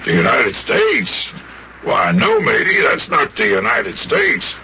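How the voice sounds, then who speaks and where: An actor playing a jolly, patient old sea captain in a children's radio play, with folksy nautical talk and repeated phrases. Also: male voice, medium pitch, huge pitch swings, takes it slowly, gravelly, full character voice. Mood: patient, correcting. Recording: muffled, noisy old radio recording.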